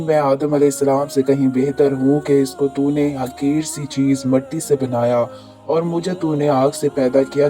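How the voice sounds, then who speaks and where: A haughty, boastful male devil, put on by a hoarse man reading the tale aloud, speaking with indignant pride in a dark, mellow voice that keeps to a narrow, flat line. Soft music plays faintly behind him. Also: mid-range voice, lightly acted. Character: arrogant proud male devil defying God.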